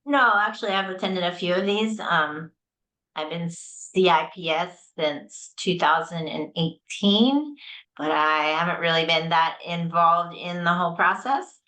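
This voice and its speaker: Bright-voiced woman on a video call. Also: fairly low pitch, unhurried, poor audio. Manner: casual, some fillers. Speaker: realtor. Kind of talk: self-introduction on video call.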